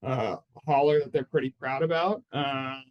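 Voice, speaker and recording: A man's voice: medium pitch, moderate pace, highs cut off. Male guest in his thirties from Phoenix; mid-range voice, easygoing and talkative. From Phoenix, Arizona. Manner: relaxed and chatty, lots of "like" and "I mean". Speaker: personal injury lawyer, guest. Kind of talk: casual interview answers.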